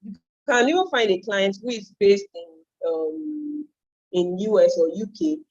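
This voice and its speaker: A woman's voice: medium pitch, animated, unhurried, darkish tone, lo-fi sound. A woman with a Nigerian accent and Yoruba as a native language gives an informal step-by-step tutorial, explaining and thinking aloud as she goes. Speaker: online freelancing trainer.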